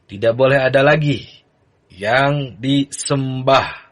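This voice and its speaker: A man teaching a religious text to beginners in a relaxed, easygoing manner. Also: mid-range voice, takes it slowly. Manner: relaxed, chanting the text lines in a sung recitation. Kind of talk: kitab reading lesson.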